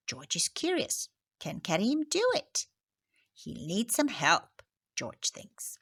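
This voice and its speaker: A woman teacher reading a picture book aloud to children, expressive and dropping into soft whispers on headings and asides. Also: on the low side, slow pace, slightly rough. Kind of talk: children's story read-aloud.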